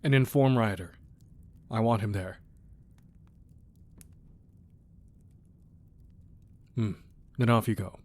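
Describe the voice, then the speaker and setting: A man playing a weary, menacing crime boss with a tender side, in a controlled, deliberate ASMR roleplay delivery. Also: on the low side, lightly acted. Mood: curt, exasperated. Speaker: audio roleplay voice actor. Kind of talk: ASMR roleplay acting, close and dramatic.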